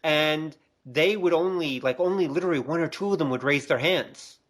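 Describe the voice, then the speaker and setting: A man's voice: on the high side, moderate pace, a bit bright. A middle-aged man talking casually as a co-host in a podcast discussion. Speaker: podcast co-host, college teacher.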